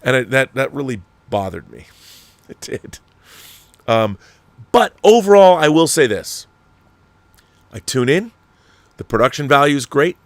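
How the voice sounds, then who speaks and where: A man with a medium-pitched voice, recorded dry with little room sound. He talks off the cuff like a show host giving strong opinions, rambling and doubling back on words, leaning hard on his points with blunt language. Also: sing-song, measured pace, long pauses, dry recording. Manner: rambling, emphatic, repeats words, swears freely. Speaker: host of a film and TV commentary show. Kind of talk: casual opinionated commentary, answering viewer messages.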